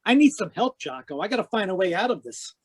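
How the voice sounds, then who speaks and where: A man playing a hard-boiled, wisecracking private investigator in a 1940s-style radio noir drama, deadpan, clipped and sardonic. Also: high-pitched, fast talker, breathy edge, dry recording, full character voice. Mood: urgent, impatient. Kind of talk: 1940s radio noir acting with first-person narration. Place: live remote video-call performance.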